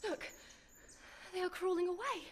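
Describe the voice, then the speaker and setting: A woman's voice: extremely high pitch, normal speed. A woman playing a frightened but plucky young sweetheart in a radio horror drama, breathless and melodramatic, with old-fashioned exclamations. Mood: alarmed, disgusted. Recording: clear background noise, drama sound effects.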